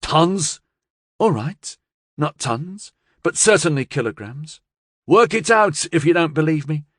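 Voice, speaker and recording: A man's voice: a little high, animated, measured pace, no echo, studio quality, pitched above the performer's own voice. A man voicing a cool, confident gangster's woman in an audiobook, speaking in a firm, assured, coaxing way. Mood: persuasive, assured.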